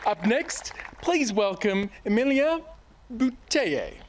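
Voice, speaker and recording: Male MC, high voice, unhurried, formal, noisy outdoor feed. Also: sing-song. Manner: measured, welcoming names one by one. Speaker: ceremony announcer. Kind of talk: formal announcing. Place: outdoors by a lake.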